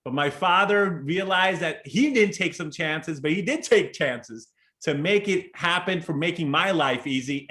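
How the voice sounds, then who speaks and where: Keynote speaker, man in his forties, higher voice, earnest and chatty, video-call sound. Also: a bit bright. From Chicago. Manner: earnest, conversational, with frequent restarts and "right?" asides.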